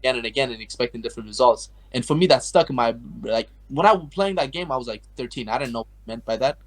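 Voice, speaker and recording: A man's voice: mid-range voice, quick pace. A young man from Afghanistan, a guest artist chatting casually and rambling, with lots of filler words as he tells his story. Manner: rambling, heavy use of like and you know. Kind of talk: casual interview chat.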